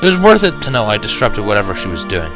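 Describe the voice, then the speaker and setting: A man's voice: lowish voice, huge pitch swings, fast, music in the background. A man reading a fan-fiction story aloud as scripted first-person narration.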